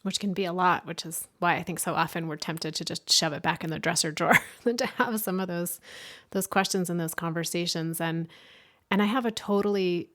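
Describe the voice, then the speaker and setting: Woman host, low-pitched, casual interviewer, laughs lightly at herself. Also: brisk. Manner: warm, self-deprecating, laughs lightly. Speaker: podcast host. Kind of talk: conversational interview.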